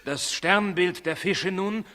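Bright-voiced, solemn learned astrologer. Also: male voice, high voice, slow pace, full character voice. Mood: solemn, explaining. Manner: formal, declamatory explaining. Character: learned, solemn court-visiting astrologer, courteous and assured. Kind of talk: 1960s German radio play acting. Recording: 1960s radio play, long reverberant hall.

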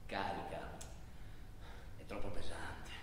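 A man's voice: deep voice, level tone, slow pace, noisy background. A man narrating a theatrical travel monologue on stage, delivered phrase by phrase. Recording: very echoey hall, far from mic.

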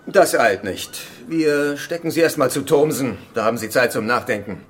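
A man's voice: mid-range voice, animated, normal speed, noisy background, natural voice. A man playing a ruthless gang leader, calculating and casually menacing, in a German radio drama. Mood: cold menace.

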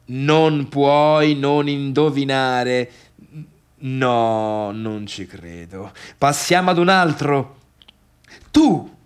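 Man acting a bitter, needy pianist, wildly swinging pitch. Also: heavily acted. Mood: goading, mock cheerful. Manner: theatrical, halting, swinging from murmurs to outbursts. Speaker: actor performing a theatrical monologue. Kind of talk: radio theatre monologue, addressing imagined listeners.

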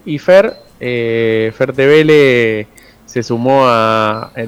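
A man's voice: mid-range voice, clear, resonant tone. A man with a Rioplatense Argentine accent hosting a radio show in a casual, conversational style. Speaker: radio host.

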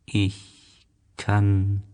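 Man voicing a slow, mysterious desert snake. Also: lowish voice, monotone, dark tone. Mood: solemn, ominous. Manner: slow, drawn-out, riddling delivery.

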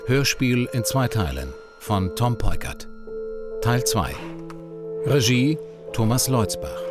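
A man reading a scripted radio drama recap as announcer, in a measured, factual manner. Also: medium pitch, big pitch swings, over loud music.

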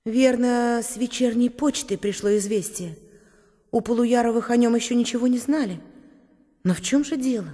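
A woman's voice: on the high side, moderate pace, mellow, long reverb tail, lightly acted. A woman playing a naive young girl, meek but a little cheeky, in a radio drama. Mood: puzzled, timid.

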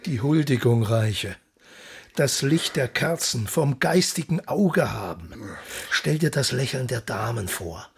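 A man's voice: medium pitch, expressive, a little slow, creaky, slightly rough, exaggerated voice. An actor in a German radio drama playing an impatient, commanding male composer with an urgent, exhorting, theatrical manner. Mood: exhorting, frustrated. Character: Bach, impatient, commanding male composer driving his musician.

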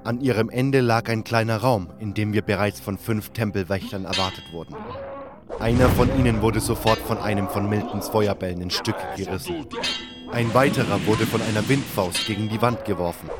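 A man's voice: on the low side, normal speed. A man narrating a fantasy audio drama from a script as a first-person storyteller. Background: prominent music.

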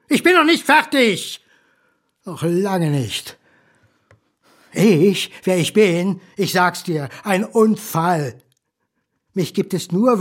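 A man playing a bitter, lonely illegitimate son in a radio drama, theatrical and mocking as he addresses his absent father. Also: sits high. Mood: bitter accusing. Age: middle-aged. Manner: theatrical, mocking, swinging between outbursts and whispers. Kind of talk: German radio drama monologue.

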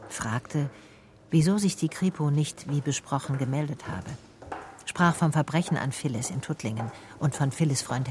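An actress playing a brooding, persistent private detective in a radio drama, measured and reflective. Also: female voice, sits low, noisy background. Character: female private detective telling her own case, brooding, persistent. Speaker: narrator and lead. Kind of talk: radio drama first-person narration and dialogue.

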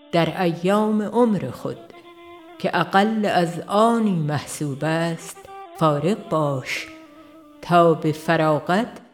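A woman with a somewhat low voice reads a scripted spiritual audiobook. Her delivery is measured and reverent. Faint music plays behind her. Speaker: audiobook narrator. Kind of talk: scripted spiritual book narration.

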